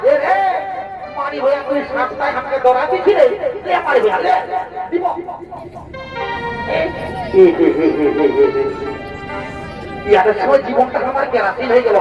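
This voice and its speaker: High-pitched, exclamatory comic village husband played by a man. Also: dull audio. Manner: loud, exclamatory, repetitive calling out. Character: comic exasperated village husband searching for his wife. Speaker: comic folk stage performer. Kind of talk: comedy Chhau dance stage dialogue in rural dialect. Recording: echoey loudspeaker from far off, crowd, music.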